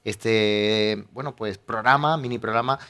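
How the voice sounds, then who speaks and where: Man, pastor, TV host, low voice, jokey, halting fillers, faint music. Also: fairly bright tone. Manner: friendly, joking, drawn-out fillers.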